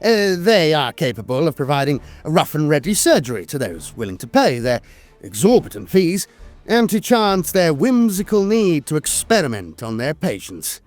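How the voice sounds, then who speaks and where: A man reading scripted fantasy war lore as a narrator, in a theatrical, deliberate manner with pauses and hesitant filler sounds. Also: fairly high pitch, very animated, darkish tone. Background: music.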